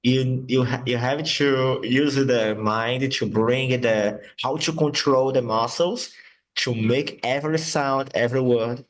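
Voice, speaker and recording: A male English teacher, Portuguese his first language, talks in an unhurried, drawn-out way. He patiently repeats words over and over to model their sounds. His voice sits at an ordinary middle pitch. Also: a little hiss or hum. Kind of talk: online English lesson.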